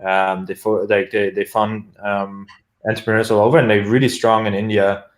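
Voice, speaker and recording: Guest: young man with a German accent, low voice, rambling and filler-heavy, echoey room. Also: level tone, normal speed. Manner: rambling, many fillers and restarts. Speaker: guest product manager. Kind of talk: casual interview chat.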